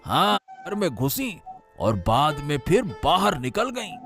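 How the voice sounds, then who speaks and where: A man voicing a nosy, meddlesome male neighbor in an animated family story, speaking in an insinuating way. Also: fairly high pitch, creaky, faint music behind, exaggerated voice. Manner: nosy, insinuating, drawn-out delivery. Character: gossiping meddlesome male neighbor, middle-aged.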